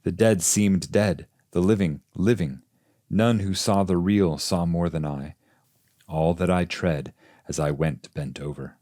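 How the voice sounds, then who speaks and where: A man reading a classic poem as literary audiobook narration, in a measured, solemn manner. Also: low voice, warm tone, no room sound, studio quality. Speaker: translator and reader of a classic poem.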